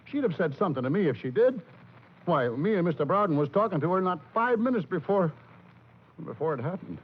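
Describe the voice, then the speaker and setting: A tinny, faintly noisy old radio broadcast carries a carnival showman. His fairly high male voice swings up and down, slangy and ungrammatical, evasive yet deferential. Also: big pitch swings, a little fast, slightly rough, exaggerated voice. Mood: shaken. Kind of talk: 1940s radio crime drama acting.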